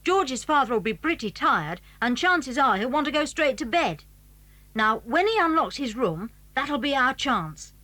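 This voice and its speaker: A woman's voice: high voice, sing-song, unhurried, pushed and bright, no room sound, full character voice. A woman acting a plucky, scheming British schoolboy in a children's audio drama. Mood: plotting, determined.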